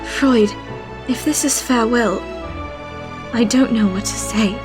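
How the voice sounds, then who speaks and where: A woman's voice: on the high side, a little slow, lightly acted. A woman voicing an earnest, dignified heroine in a fantasy game fan dub, solemn and heartfelt. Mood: sorrowful. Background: music.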